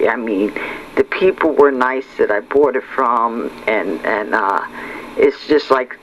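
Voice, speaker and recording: Man, unhurried pace, casual hobbyist narration, halting and repetitive, faint noise in an indoor home recording. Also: medium pitch, a bit bright, low-quality recording. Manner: halting, rambling, repeats words. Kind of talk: casual unscripted chat. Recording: clear background noise.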